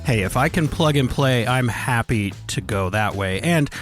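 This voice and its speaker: A man's voice: mid-range voice, animated, moderate pace, soft background music. A male podcast co-host who chats casually and jokes easily. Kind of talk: casual chat.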